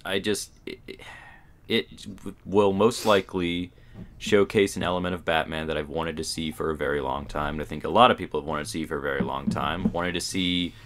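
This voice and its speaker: Male co-host with a distinctly low voice, informal fan talk, hedging as he offers opinions. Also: little pitch movement, fairly quick, fairly bright tone. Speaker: podcast co-host. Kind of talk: casual opinionated fan chat.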